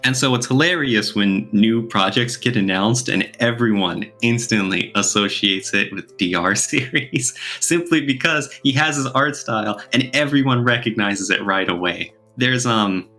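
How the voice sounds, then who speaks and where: A man's voice: on the low side, normal speed, hardly any pauses, sharp tone, soft background music. A man chatting casually as a podcast co-host, talking in an enthusiastic way.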